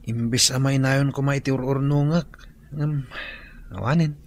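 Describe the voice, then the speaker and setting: A man's voice: medium pitch, steady tone, a little slow, natural voice. A young man with an Ilocano accent plays a startled, protesting son in a radio drama. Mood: aggrieved.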